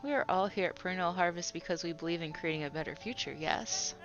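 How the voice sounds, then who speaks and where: A woman's voice: lowish voice, lively intonation, quick pace, muffled recording, faint music behind, voice pushed down, lightly acted. A woman voicing a pompous man in charge, who lectures his workers condescendingly.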